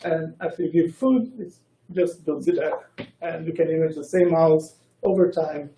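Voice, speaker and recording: A man giving an academic science talk as a researcher, speaking in a hesitant, halting way. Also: a little high, dark tone. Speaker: researcher presenting a colloquium. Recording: roomy echo, distant microphone.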